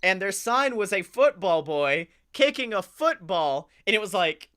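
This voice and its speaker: An American man co-hosting a comedy podcast, telling stories casually in an animated, sweary way with chuckles in his speech. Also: sits high, measured pace, clear, resonant tone. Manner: animated, swearing, often chuckling while talking. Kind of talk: casual comic storytelling.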